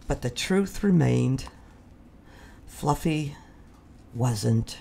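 A woman reading a children's story aloud in an expressive way, with deliberate dramatic pauses. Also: very deep voice, gravelly, slight background noise. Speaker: storybook reader.

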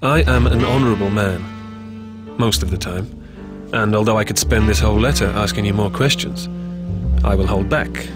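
A man's voice: lowish voice, moderate pace, over music, lightly acted. A man reading an audiobook as a courteous, self-restrained letter writer replying to an intimate letter. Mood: polite, restrained.

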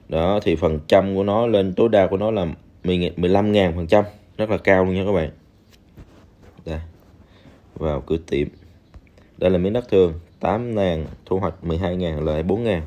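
A man's voice: sits low, mellow. A man talking casually over a game screen recording, speaking straight to viewers with many fillers. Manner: chatty, addresses viewers directly, many fillers. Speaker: game reviewer.

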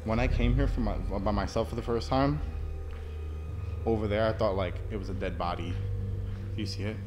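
A young man vlogging as he walks through an empty basement, talking in a rambling, nervous, hushed way, recorded on a handheld phone. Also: on the low side, steady tone, normal speed. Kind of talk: casual handheld walkthrough vlog. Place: empty concrete basement, large indoor space. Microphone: handheld phone camera. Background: faint music.

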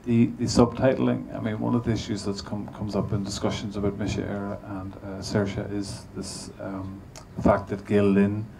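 Low, flat-voiced male panel chair. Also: normal speed, creaky, hardly any pauses, background noise. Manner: rambling questions full of fillers and restarts. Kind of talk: interviewing a guest on stage. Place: cinema stage, seated. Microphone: handheld mic.

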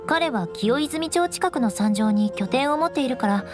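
A haughty cool-headed lady knight from an anime game, highish voice, music clearly behind. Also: lively intonation, normal speed. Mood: dry, composed. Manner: haughty, composed, dry teasing. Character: proud, cool-headed young female knight shunned as noble outcast. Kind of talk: Japanese anime game voice acting. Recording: studio voice over game music.

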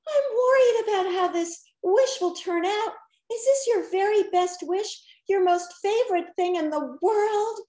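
Storybook wish-granter, female played by a woman reader: anxious, shaky, very high, slow delivery. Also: warm tone, echoey room. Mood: worried, meek. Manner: nervous, fretful, shaky-voiced. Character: timid, anxious female wish-granting creature who frets constantly. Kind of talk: children's storybook character reading. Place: indoors, attic-style room at home.

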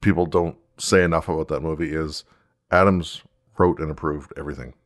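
A man chatting casually as a podcast host, starting thoughts haltingly and repeating words as he goes. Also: a bass voice, steady tone. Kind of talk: casual chat, TV episode commentary.

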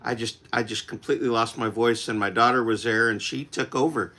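A middle-aged man in his forties chatting casually on a home livestream, his voice still a little rough after losing it, rambling easily with lots of fillers. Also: mid-range voice, fairly even intonation, a little fast. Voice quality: recovering voice, slightly rough. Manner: laid-back, rambling with many fillers. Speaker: country singer hosting a livestream. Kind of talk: casual livestream chat with viewers. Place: home music room, indoors. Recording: loud acoustic guitar in the mix.

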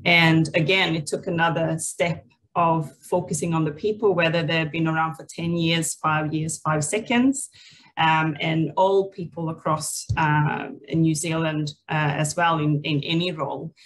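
A Swedish woman in her forties, a native Swedish speaker, talks as a guest on a video call. Her voice sits a little low. She tells personal stories in a reflective, halting, conversational way. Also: fairly even intonation, low-quality recording. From Sweden. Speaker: interview guest, people and culture leader.

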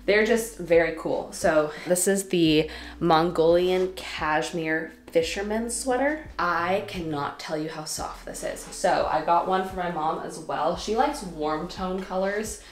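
A young woman vlogging at home, talking to the camera in a bubbly, chatty way, her nose a bit stuffed up. Her voice sits fairly low. The room around her sounds bare and echoey. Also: a little slow. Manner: chatty and bubbly, laughs between phrases. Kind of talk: casual vlog talk to camera. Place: home living room and bedroom.

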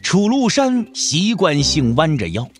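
A man reads scripted narration for a wuxia audio drama. His voice is on the higher side and moves expressively, with music behind it. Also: sing-song, unhurried.

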